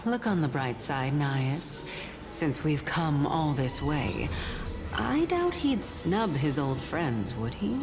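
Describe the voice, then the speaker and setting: An actress playing a composed, curious, gently teasing female spirit companion in a video game, in a measured, theatrical manner. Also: sits low, very animated, music in the background, heavily acted. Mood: wry consolation.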